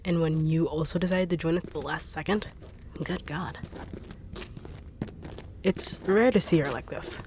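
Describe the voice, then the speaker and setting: A woman voicing a fast-talking, no-nonsense, teasing bodyguard in an audio roleplay. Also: sits low, darkish tone, slight background noise. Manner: dry, teasing, blunt. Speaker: voice actor.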